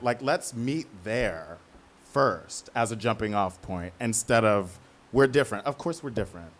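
A man answering as an interviewed playwright, candid and conversational, thinking aloud with emphatic asides. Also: mid-range voice.

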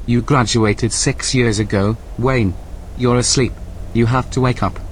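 An actor playing a calm artificial intelligence guide in an audio drama, speaking in a measured, matter-of-fact, commanding way. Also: male voice, mid-range voice, a little hiss or hum, close to an everyday voice. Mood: urgent, insistent.

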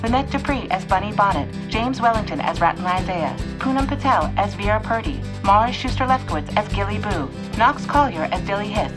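A woman's voice: mid-range voice, lively intonation, fairly quick, hardly any pauses, low-quality recording. A woman reading scripted credits as the announcer of an audio fiction show. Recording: music behind the voice. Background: instrumental music.

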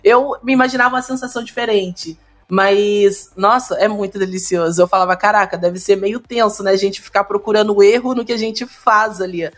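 A woman's voice: mid-range voice, a little fast. A woman from Minas Gerais with a Carioca accent, an esports caster speaking in an upbeat, laughing way in a casual video call interview. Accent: Carioca Portuguese. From Minas Gerais, Brazil.

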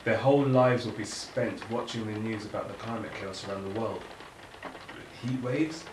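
A man's voice: on the low side, moderate pace, lightly acted. An actor playing an earnest, reflective father facing climate upheaval in a naturalistic audio drama. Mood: anxious. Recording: roomy, distant, noisy room recording.

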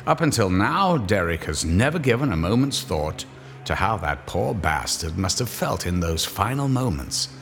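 An actor playing a grim, sardonic narrator of horror tales, giving dramatic scripted narration. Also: male voice, low-pitched, very animated, normal speed, fairly bright tone, faint music behind, exaggerated voice. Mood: sardonic, ominous.

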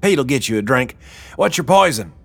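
A brash older woman who runs the gang, as a male narrator puts her on, pitched up and lively. She is coarse, folksy and mocking, a storybook boss who teases and orders people around. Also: a little fast, vocal fry, dry recording. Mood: brash, commanding.

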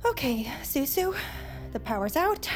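Bossy determined teen girl, highish voice, sarcastic, faint music under. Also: big pitch swings, unhurried. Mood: insistent. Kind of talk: audio drama acting.

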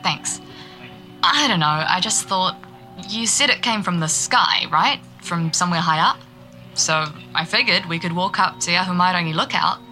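An actress with a New Zealand accent playing a chatty, curious and friendly local interviewer in a naturalistic audio drama. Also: female voice, fairly low pitch, normal speed, pushed and bright, soft background music. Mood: cheerful, chatty. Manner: chatty, inquisitive.

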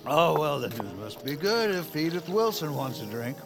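An actor playing an easygoing, hapless, oddball Vice President in an audio comedy. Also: male voice, a little high, very animated, normal speed, a crowd in the background. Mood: amused.

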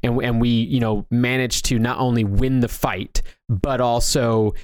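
A man's voice: medium pitch, fairly even intonation, darkish tone, no room sound. Man, podcast co-host, casual discussion, rambling delivery, frequent restarts, dry sound. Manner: rambling, halting, restarts thoughts often. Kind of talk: casual discussion of movies and games.

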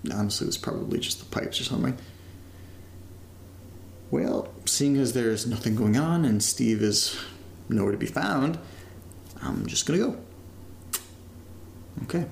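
A young man talks into his recorder in a found-footage horror drama. His voice is mid-pitched with plenty of fry, and he rambles informally, sweary and self-deprecating. A faint noise sits behind him. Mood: relaxed, bored. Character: casual, upbeat young man documenting a creepy new house.

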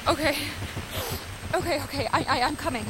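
An actress playing an anxious, apologetic young woman who speaks haltingly, in a naturalistic audio drama. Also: high-pitched, heavy background noise, close to an everyday voice. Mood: shocked, frightened. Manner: hesitant, stammering. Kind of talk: naturalistic audio fiction acting.

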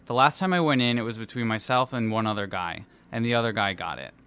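A man's voice: fairly low pitch, fast, a bit bright, smooth. A man reading his personal essay aloud in a theatrical, playful, self-deprecating manner. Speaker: musical theatre performer and dance captain.

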